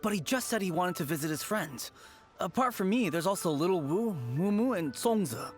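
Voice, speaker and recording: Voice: mid-range voice, animated, brisk, faint music behind, lightly acted. A voice actor playing an earnest boy, a loyal childhood friend, in a game. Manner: earnest, relieved. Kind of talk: game voice acting.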